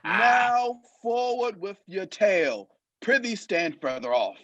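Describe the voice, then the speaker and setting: Guy acting a blustering drunk lord, high voice that swings all over, bossy old-timey radio play, very dry sound. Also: big pitch swings, slow pace, fairly bright tone, no echo, highs cut off, exaggerated voice. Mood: threatening. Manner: pompous, commanding, archaic speech.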